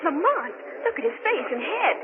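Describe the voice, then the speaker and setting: A woman's voice: very high-pitched, big pitch swings, normal speed, a crowd in the background. A woman playing a sympathetic, earnest lady companion to a sleuth in a 1930s radio drama. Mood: worried. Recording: noisy, narrow vintage radio recording.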